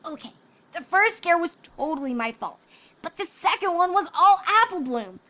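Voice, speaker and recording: A boisterous young pegasus filly put on by a woman talks in a high, youthful voice. She is brash and cheeky, always ready to tease, in a cartoon storybook style. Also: expressive, moderate pace, sharp tone, full character voice. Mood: defensive. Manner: brash, teasing.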